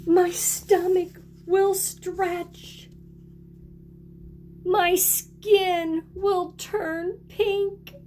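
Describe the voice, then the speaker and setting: Woman acting a melodramatic storybook crocodile, exaggerated and drawn-out. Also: very high voice, a little mellow, voice pushed up, heavily acted. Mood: panicked, pleading. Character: melodramatic young crocodile who adores watermelon.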